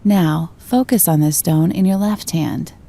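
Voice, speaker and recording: A woman narrating a scripted guided meditation in a gentle, calm and soothing manner. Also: on the low side, measured pace, creaky.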